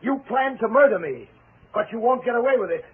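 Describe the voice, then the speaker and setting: An actor playing a weak, panicky murder conspirator in a 1940s radio mystery, agitated and prone to stammering. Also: male voice, extremely high pitch, expressive, fairly quick, husky, heavily acted. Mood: accusing. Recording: tinny, hissy vintage radio recording.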